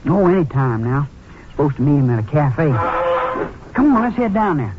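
An actor playing an eager, boastful young American car thief in a slangy, cocky manner, in a 1950s radio drama. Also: male voice, a little high, sing-song, normal speed, heavy background noise. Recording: muffled vintage 1950s radio recording.

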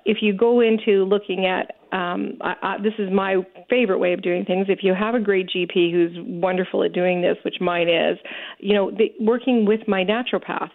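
A woman's voice: mid-range voice, steady tone, normal speed. A woman who owns a store, a guest on a talk show, speaking with enthusiasm and many fillers. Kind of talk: casual interview chat. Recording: tinny phone-like sound.

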